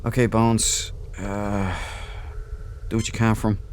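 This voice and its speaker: Guy doing a let's play, jokey and slow talking, slight background noise. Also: lowish voice, flat delivery. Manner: jokey, playful, crude. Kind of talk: casual game commentary.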